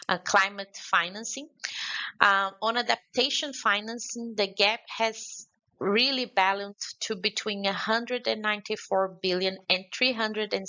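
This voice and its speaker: A woman's voice: mid-range voice, vocal fry, bright, forceful tone, muffled recording. A woman from Brazil with a Brazilian Portuguese accent, giving an earnest prepared video address to a conference. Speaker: conference speaker. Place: remote, pre-recorded video.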